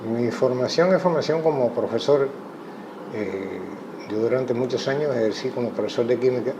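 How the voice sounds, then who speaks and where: A Cuban man, a chess coach, giving thoughtful interview answers in a measured way with frequent pauses. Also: mid-range voice. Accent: Cuban Spanish. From Cuba. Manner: measured, thoughtful, many hesitations. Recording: muffled audio with clear background noise.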